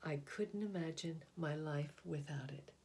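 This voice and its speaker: A woman reading a picture book aloud as a storyteller, with deliberate, expressive phrasing and pauses. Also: low-pitched, a little hiss or hum.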